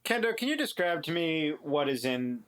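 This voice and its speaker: A man's voice: a little high, very animated, normal speed, fairly bright tone. A man chatting casually as a player in a tabletop game.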